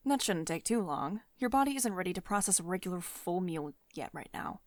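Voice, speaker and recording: A woman's voice: on the low side, lively intonation, fairly quick, dry recording, clean studio sound. A woman playing a commanding, protective tech CEO who is secretly devoted and tender, in an intimate ASMR roleplay monologue. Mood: pleased, gently bossy.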